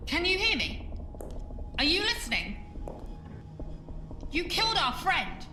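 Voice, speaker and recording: A woman plays a bold female ghost investigator in an audio drama, high-voiced and very animated. She is emphatic and easily exasperated, heard in an echoey room away from the mic. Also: takes it slowly, full character voice. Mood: presenting, challenging. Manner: emphatic, outspoken. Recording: echoey room, distant mic, faint music.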